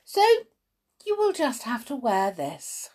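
A woman's voice: fairly high pitch, wildly swooping pitch, slow, a little mellow, husky, pitched above the performer's own voice, heavily acted. A woman voicing a cruel, bossy hospital matron from a storybook, with a sneering, theatrically sarcastic delivery. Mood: smug, snapping. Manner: theatrically scornful, sarcastic, snapping.

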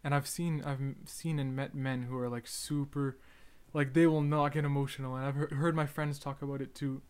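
A young man with a stutter speaks in a halting, hesitant way, stalling on words as he searches for them. His voice is smooth and clear, with a flat, even delivery. It sounds like a solo podcast monologue. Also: medium pitch. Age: young adult. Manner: halting, soft-spoken, hesitant. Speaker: podcast host.

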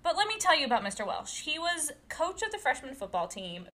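Bright-voiced chatty woman in her late twenties. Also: high voice, sing-song, fairly quick. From Brazil. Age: late 20s. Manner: chatty, outspoken, laughs a lot. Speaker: podcast co-host. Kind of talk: casual chat.

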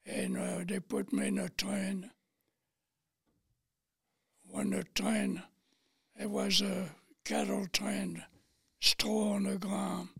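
Very old Frenchman, raspy high voice. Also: sing-song, fairly bright tone, no room sound, clean studio sound. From Paris, France. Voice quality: raspy, thin, breathy. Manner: plain, halting storytelling in non-native English. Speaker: interview guest, war veteran. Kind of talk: recounting personal memories in interview.

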